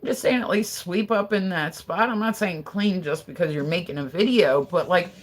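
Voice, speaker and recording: A woman's voice: on the low side, moderate pace. An American woman livestreaming from her house, chatting casually and gossipy, full of fillers and swearing, answering her viewers as she goes. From United States. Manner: chatty, gossipy, loose and profane, lots of "like" fillers.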